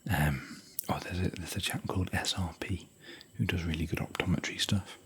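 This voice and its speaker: A man whispering softly in a rambling, chatty, gentle way while hosting an ASMR hotel room tour. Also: low-pitched, normal speed, husky. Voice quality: soft whisper.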